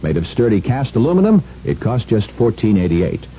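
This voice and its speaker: A man's voice: fairly low pitch, very animated, brisk. A man reading a scripted 1970s radio commercial as an announcer. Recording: dull, faintly noisy 1970s radio broadcast.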